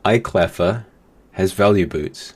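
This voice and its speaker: A man's voice: low voice, takes it slowly. A man with a New Zealand accent doing live esports commentary with casual banter.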